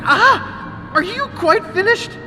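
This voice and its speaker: Wimpy, wisecracking young pirate, bright high voice, excitable and wry, for an adventure game. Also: male voice, takes it slowly, soft background music, full character voice. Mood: pained, pleading. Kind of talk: video game character acting. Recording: long cavernous reverb.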